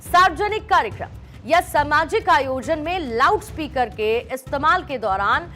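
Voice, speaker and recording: A woman's voice: high-pitched, animated, moderate pace, sharp tone. A woman reading scripted broadcast news as an anchor, with emphatic, presentational delivery. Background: background music under the voice.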